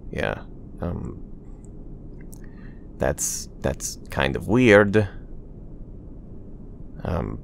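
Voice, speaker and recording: A man playing a reserved warrior at bedtime in an ASMR roleplay, speaking in a hesitant, halting, awkward way. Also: sits low, creaky, a little hiss or hum, heavily acted. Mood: awkward, reluctant.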